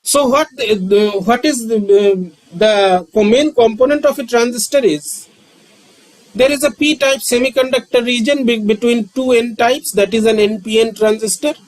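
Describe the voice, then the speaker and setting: A man, lecturing on physics and repeating key terms with frequent pauses. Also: very high-pitched, rough recording. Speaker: physics teacher. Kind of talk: slow, repetitive classroom lecture.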